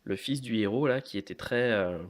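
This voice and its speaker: A man in his late thirties presenting informally to viewers as a video host, often hesitating with drawn-out fillers. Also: medium pitch. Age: late 30s. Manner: hesitant, frequent long filler pauses. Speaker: YouTube host of a toy and model kit channel.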